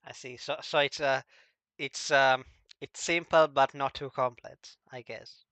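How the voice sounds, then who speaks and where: A man with a mid-pitched, bright voice hosts a casual interview. He is crisp and dry on the recording, yet halting and unsure, often stammering into his sentences. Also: fairly even intonation, dry recording. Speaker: interviewer, host.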